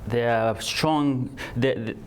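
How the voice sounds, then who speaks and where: A man from Tanzania answering interview questions, halting and repeating words as he searches for phrasing. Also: mid-range voice, slight background noise. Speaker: guest.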